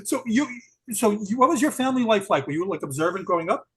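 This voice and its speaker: High, husky-voiced New podcast host. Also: male voice, big pitch swings, fast. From Brooklyn, New York. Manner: eager, laughs often. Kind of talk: podcast interview.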